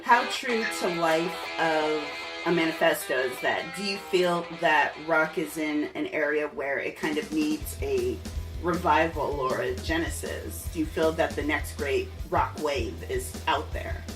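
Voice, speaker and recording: A woman podcast host with a distinctly low voice. She speaks in a conversational interview style, with faint music behind her. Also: unhurried.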